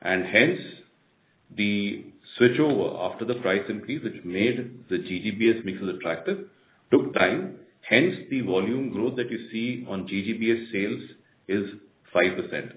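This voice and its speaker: An Indian-accented man, a company executive, explaining results in a measured way on an earnings call. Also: on the low side, lively intonation. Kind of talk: answering questions on an earnings call. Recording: echoey narrowband conference phone line, faint noise.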